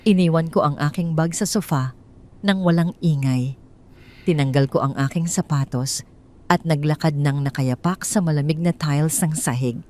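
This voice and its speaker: A woman telling a first-person drama story in a hushed, tense, suspenseful way. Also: low voice, normal speed. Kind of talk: first-person scripted narration.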